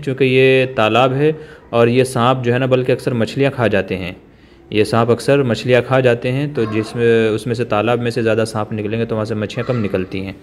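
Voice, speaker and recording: A man's voice: mid-range voice, slight background noise. A man filming outdoors by a pond on a phone, explaining the scene in chatty speech with many fillers. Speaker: vlogger. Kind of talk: casual commentary behind the camera.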